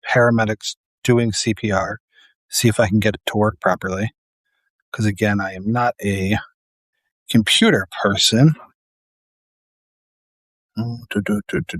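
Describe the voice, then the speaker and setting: A man's voice: lowish voice, no room sound. A man who works as a paramedic, talking casually and earnestly to camera, tired and losing his voice.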